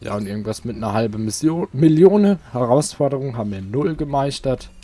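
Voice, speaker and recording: A man's voice: medium pitch, animated, fairly quick, warm tone. A young man casually chatting and muttering as he plays through a video game. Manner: chatty, easygoing, mutters while playing. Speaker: let's player. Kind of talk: casual gameplay commentary.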